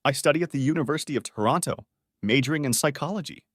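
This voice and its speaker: A man teaching beginner English, reading short model sentences clearly and saying each one twice. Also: on the high side, no echo, clean studio sound. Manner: says each phrase twice, clearly and deliberately.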